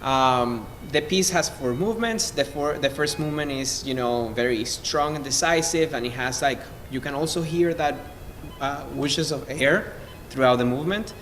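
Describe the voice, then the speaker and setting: A man from Venezuela, a cellist, introducing music informally in an effusive, halting way as he searches for words. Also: mid-range voice, a bit bright. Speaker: cellist in a string quartet. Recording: noisy, long hall reverb.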